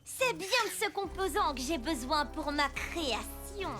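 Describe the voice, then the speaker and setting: A woman's voice: high voice, animated, a little slow, music in the background. A woman voicing a scheming young female villain in a French cartoon dub, gloating theatrically. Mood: sly, gloating.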